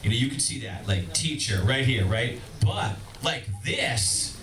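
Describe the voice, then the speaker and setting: A man doing a stand-up comedy set in a club, self-deprecating and breaking into shouty bursts. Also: mid-range voice, expressive, a little slow, faint music behind. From Boston, Massachusetts. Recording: echoey club from far off. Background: audience laughter.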